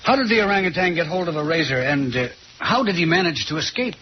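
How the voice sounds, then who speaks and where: An actor playing a confident, condescending detective who lectures and teases in an old-time radio mystery. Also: male voice, a little high, expressive, quick pace, close to an everyday voice. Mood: explaining. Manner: dramatic, lecturing, teasing. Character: confident, theatrical male detective, shrewd and condescending. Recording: muffled, noisy old radio recording.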